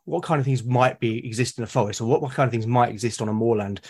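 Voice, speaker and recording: Man, British accent, mid-pitched voice, casual podcast guest, halting, repetitive phrasing, thinking aloud. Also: darkish tone, slightly rough. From Britain. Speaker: podcast guest, solo roleplaying gamer. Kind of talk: casual interview conversation.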